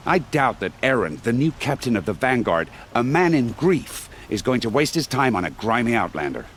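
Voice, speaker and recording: An actor playing an arrogant city gate guard in a video game, scornful and commanding. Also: male voice, mid-range voice, very animated, normal speed, a little hiss or hum, natural voice.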